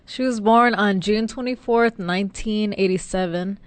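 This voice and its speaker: A woman, co-hosting a casual podcast and chatting in a relaxed way. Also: mid-range voice, normal speed, smooth, dry recording. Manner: relaxed, lots of affirming murmurs. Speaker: podcast co-host.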